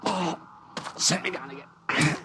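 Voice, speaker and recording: An actor playing a good-natured climbing buddy with dry humor and a folksy delivery in a 1950s radio drama. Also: male voice, a little high, slow. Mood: pained. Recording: noisy old 1950s radio broadcast.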